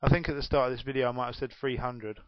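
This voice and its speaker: A well-spoken young Englishman in his twenties rambles through a casual home vlog, self-mocking and quick to laugh. His mid-pitched voice comes across dull and muffled. The small room sounds dead dry, with no echo at all. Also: level tone. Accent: well-spoken southern English. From England. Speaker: gaming YouTuber.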